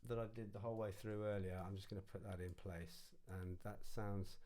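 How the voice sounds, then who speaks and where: A man with a fairly low voice teaches music software in an informal way. He goes step by step, instructing and thinking aloud as he works. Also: fairly even intonation, a little fast. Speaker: music production tutor.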